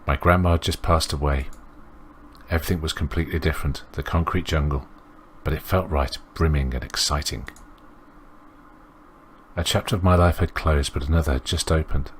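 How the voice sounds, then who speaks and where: A man reading aloud the visual novel narration of a reflective teenage girl detective, in a subdued, measured way. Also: extremely low pitch, fairly even intonation, a little hiss or hum. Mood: quiet, wistful.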